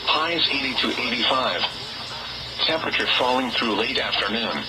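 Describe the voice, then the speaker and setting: A man's synthetic voice reads a scripted weather bulletin in a formal, measured way over a weather radio broadcast. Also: mid-range voice, lively intonation, heavy background noise. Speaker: automated weather radio announcer.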